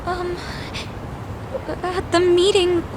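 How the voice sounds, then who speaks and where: A woman's voice: very high voice, completely flat delivery, drawn-out words, background noise, heavily acted. A woman playing a polite, anxious daughter in a video game, hesitant and timid in her way of talking. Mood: distressed.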